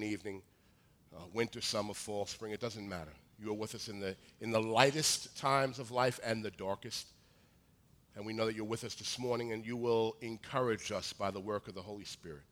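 A man in his forties with a high voice, preaching a sermon in a joking, conversational way with many restarts. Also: a bit bright. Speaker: pastor. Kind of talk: sermon with humorous asides.

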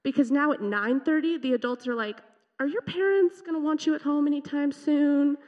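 A woman with a fairly high, loud voice gives an earnest, emotional testimony in a church. The sound is somewhat dull and lo-fi, and her words ring out in a long hall reverb. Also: moderate pace. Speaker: youth leader sharing testimony. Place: church sanctuary.

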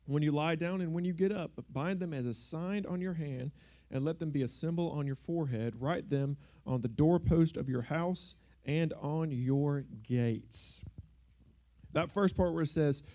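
A young man with a drawl preaches to a congregation in a loose, joking way, filling his talk with "like" and "y'all." His voice is mellow and warm, carried through a handheld microphone in a church. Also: on the high side. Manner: joking, conversational, uses filler words like "like" and "y'all". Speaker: guest preacher. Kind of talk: casual sermon. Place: church sanctuary.

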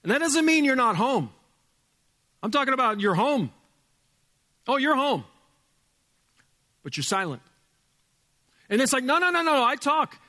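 A male pastor with a loud voice preaching a sermon in a church, emphatic, dropping from booming to hushed. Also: big pitch swings, unhurried, pauses often, in a large hall. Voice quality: loud speaking voice. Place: church sanctuary with sound board.

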